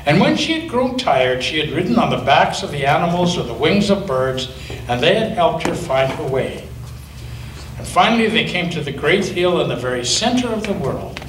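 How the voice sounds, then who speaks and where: A male pastor reading a children's story aloud in a church, with expressive storytelling and slow emphasis. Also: fairly high pitch, a little hiss or hum. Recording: echoey church from a distance.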